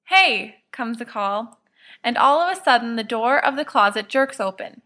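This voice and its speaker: A woman reads fiction as a narrator. Her voice is crisp-edged and bright, pitched a little high. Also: normal speed. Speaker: podfic reader, narrator.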